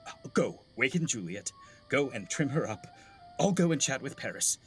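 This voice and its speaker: A man's voice: mid-range voice, animated, normal speed, soft background music, natural voice. A man playing a fussing older father and master of the house in a classical Shakespeare play, commanding and theatrical. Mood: bustling, impatient. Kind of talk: classical Shakespearean stage acting.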